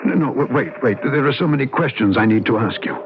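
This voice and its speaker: A man's voice: mid-range voice, animated, close to an everyday voice. An actor playing a haunted, obsessive man in a radio drama, earnest and dramatic. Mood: bewildered, urgent. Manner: earnest, dramatic, halting when pressed. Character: haunted, agitated, obsessive man drawn to mysteries. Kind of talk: radio drama acting with first-person narration. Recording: narrow-band, noisy old radio recording.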